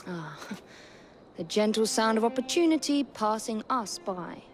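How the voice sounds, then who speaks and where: A woman in her twenties with an English accent playing a composed, sharp-witted Victorian assassin in a video game cutscene. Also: on the high side, lively intonation, unhurried. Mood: dry, composed. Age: 20s. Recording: game dialogue over music.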